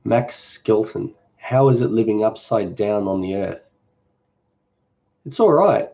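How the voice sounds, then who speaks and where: Mellow, easygoing Australian man. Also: on the low side, slow, muffled recording. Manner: relaxed, rambling, joking. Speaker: cooking channel host. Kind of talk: casual live-stream Q&A.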